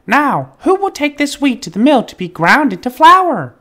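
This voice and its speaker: Reader doing a busy little storybook hen, shrill and emphatic, much higher than the narration. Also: sing-song, normal speed, a bit bright. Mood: asking. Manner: exaggerated high storybook character voice. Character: hardworking little female hen.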